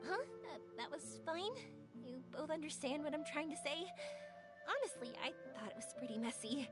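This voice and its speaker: Young female game adventurer: high pitch, sincere and upbeat, prominent music underneath. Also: animated, moderate pace. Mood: surprised, modest. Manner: earnest, candid. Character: earnest, upbeat young woman on a journey. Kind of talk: video game voice acting. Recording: loud game music behind the voice.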